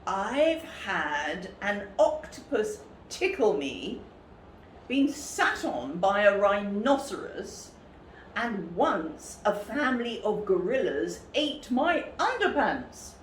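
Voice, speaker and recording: A woman's voice: medium pitch, very animated, slow pace. A woman voicing an indignant, furious male television presenter in a storybook, scolding emphatically. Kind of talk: storybook character voice. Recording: roomy, distant mic, faint noise.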